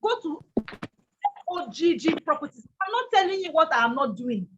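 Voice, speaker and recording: Nigerian woman with a big loud voice, high and punchy, preaching hustle, kinda muffled lo-fi audio. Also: very animated, moderate pace, background noise. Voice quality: loud, strong voice. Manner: emphatic, repeats key lines. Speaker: motivational speaker. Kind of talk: motivational talk.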